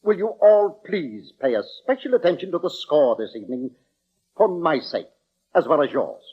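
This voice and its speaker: An actor playing a courtly, anxious British orchestra conductor in a formal 1940s radio drama style. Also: male voice, a little high, sing-song, moderate pace, breathy edge. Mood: grave, pleading. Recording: dull, band-limited old radio recording.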